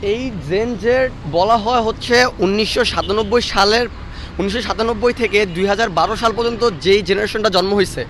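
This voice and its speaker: High-pitched young Bangladeshi man, street noise. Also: moderate pace, fairly bright tone. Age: young adult. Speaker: student interviewee. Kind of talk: casual interview answers. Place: outdoors on a university campus. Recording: noisy outdoor street with traffic.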